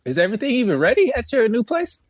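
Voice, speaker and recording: A man's voice: very high-pitched, wildly swooping pitch, fast talker, dry recording, clean studio sound. A man co-hosting a podcast who teases and laughs a lot while chatting. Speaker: podcast co-host. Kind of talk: casual comedic chat.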